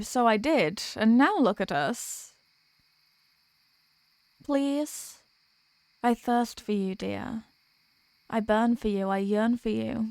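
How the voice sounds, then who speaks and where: Woman voicing a theatrical high-voiced vampire girlfriend. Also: a little mellow, dry recording, close to an everyday voice. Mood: playfully accusing, then yearning, pleading. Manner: theatrical, pleading, mock-tragic. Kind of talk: scripted roleplay audio monologue.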